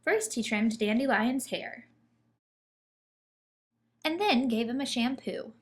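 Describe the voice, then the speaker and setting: A woman reading a children's picture book aloud in an expressive storytelling manner. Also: a little high, slow.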